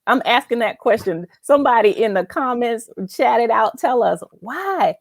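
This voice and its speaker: A woman's voice: fairly high pitch, lively intonation, moderate pace, vocal fry, dry recording, clean studio sound. Emphatic woman hosting a heartfelt talk show. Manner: emphatic, affirming, repeats phrases for emphasis. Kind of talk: faith-based conversational interview.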